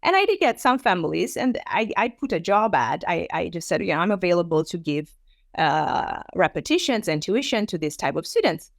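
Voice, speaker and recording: A woman's voice: lowish voice, moderate pace, gravelly, no room sound, clean studio sound. Armenian-born woman presenting, conversational. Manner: conversational, animated, with frequent fillers and acted quotes. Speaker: teacher trainer. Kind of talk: online presentation.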